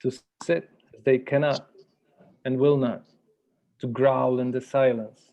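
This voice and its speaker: A man facilitating a workshop, speaking in a measured, deliberate way, like someone guiding a group through an exercise. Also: mid-range voice, flat delivery, gravelly, mellow, highs cut off. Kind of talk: guided meditation and workshop facilitation. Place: virtual workshop over video call.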